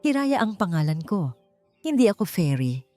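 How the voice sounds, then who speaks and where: A woman with a Filipino accent voicing a gentle, kindly young woman in an audiobook story. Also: fairly low pitch, very animated, normal speed. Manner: soft-spoken, gentle. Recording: music bed under the voice.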